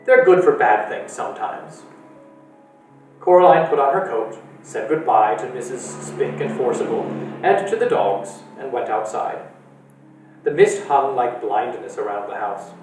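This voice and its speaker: A man reading a novel aloud to children at a library storytime, in a steady storytelling way. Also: normal speed, recorded from a distance. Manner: steady storytelling with lively pitch. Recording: echoey room from afar with faint music.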